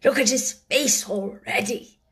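A calm middle-aged solicitor remarks slowly and in a measured way, as a woman narrator plays him. This is a storybook reading. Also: fairly high pitch, husky, exaggerated voice.

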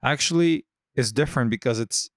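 A man hosting a podcast in casual chat, often pausing and restarting as he searches for words. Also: mid-range voice, no echo, clean studio sound. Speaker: podcast host. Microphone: studio podcast mic.

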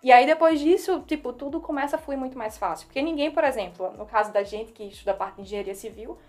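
A woman's voice: high-pitched, fast, a little mellow, faint music behind. A young woman with a Northeastern Brazilian accent, chatting earnestly and animatedly about her studies. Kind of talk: casual chat.

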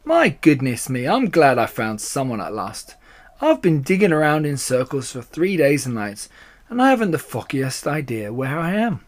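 A man voicing an excitable, anxious badger in a children's story, with blustery, emphatic exclamations. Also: medium pitch, moderate pace, close to an everyday voice. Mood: startled, relieved. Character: excitable, anxious adult male badger, starving digger.

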